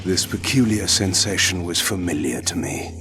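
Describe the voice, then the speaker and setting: A low, deep voice over music: a proud and brooding vampire lord in a dramatic video game. He speaks with formal, aristocratic theatricality, wary and cynical in his delivery. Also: lively intonation, normal speed. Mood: wry, resigned. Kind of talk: dramatic scripted game narration and dialogue.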